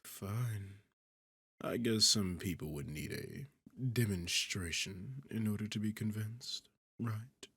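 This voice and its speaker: Man acting a slow, husky, arrogant demon king. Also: lowish voice, pushed and bright, no echo, full character voice. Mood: mock exasperated. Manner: taunting, condescending, theatrical, slow deliberate phrasing. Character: arrogant, seductive male demon king, sarcastic and domineering. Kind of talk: ASMR roleplay acting addressed to the listener.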